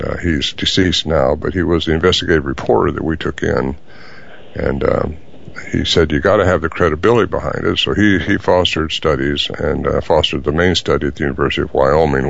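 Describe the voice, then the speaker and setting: Older man, very deep gravelly voice, halting speech with fillers, dull lo-fi sound. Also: a bit bright. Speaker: guest. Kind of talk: interview answers. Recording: dull lo-fi audio.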